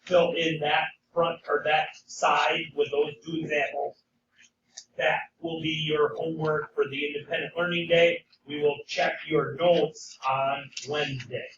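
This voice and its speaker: Hoarse male teacher in a roomy classroom. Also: a little high, level tone, measured pace, noisy background. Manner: frequent "okay?" check-ins with students. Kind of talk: classroom lecture. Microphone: camera at a distance. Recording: roomy, distant, dull classroom sound.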